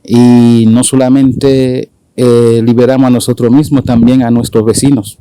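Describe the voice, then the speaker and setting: A man in his forties from Haiti, speaking Spanish with a Dominican accent, talking haltingly with many fillers as an interview guest. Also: mid-range voice, level tone, mellow, clear, resonant tone, no echo. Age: 40s. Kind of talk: casual conversational interview.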